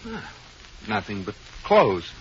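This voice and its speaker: An actor playing a worried, stammering banker husband in a 1940s radio comedy. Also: male voice, lowish voice, wildly swooping pitch, slow, heavily acted. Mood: flustered. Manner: stammering, flustered. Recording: dull, noisy old radio broadcast with audience.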